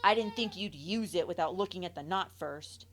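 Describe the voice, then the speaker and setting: A woman voicing a young boy who quietly confesses in a rambling way. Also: on the low side, normal speed, close to an everyday voice. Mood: sheepish.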